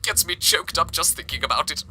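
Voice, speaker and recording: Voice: medium pitch, expressive, normal speed, pushed and bright, soft background music. A performer playing a theatrical, sentimental city radio host in an amateur audio drama, with florid phrasing. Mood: theatrical, genteel. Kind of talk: amateur radio drama, scripted broadcast narration.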